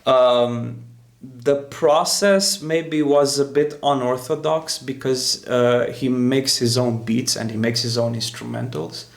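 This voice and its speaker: A man with an average-pitched voice full of gravelly fry. He hosts in a casual, explanatory way as a producer, often pausing and repeating words while he searches for the next phrase. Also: fairly even intonation. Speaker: music producer and host. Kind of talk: casual explanatory talk to camera.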